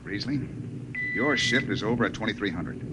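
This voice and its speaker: An actor playing a gruff, domineering spaceship captain with a sarcastic, barking manner in a 1950s radio drama. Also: male voice, fairly low pitch, normal speed, natural voice. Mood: angry, threatening. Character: gruff, angry male spaceship skipper, threatening and domineering. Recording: noisy vintage radio broadcast.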